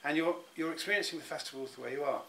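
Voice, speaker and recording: A man's voice: fairly high pitch, racing, creaky, sharp tone, a little hiss or hum. A middle-aged man, speaking in an interview and often restarting his words as he thinks. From England. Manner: thoughtful, many restarts and repeated words. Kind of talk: reflective personal interview.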